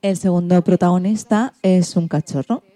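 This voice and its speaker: A woman's voice: fairly low pitch, steady tone, normal speed, mellow, dry recording. A woman with an accent from Spain, hosting a radio show in a scripted presenting style.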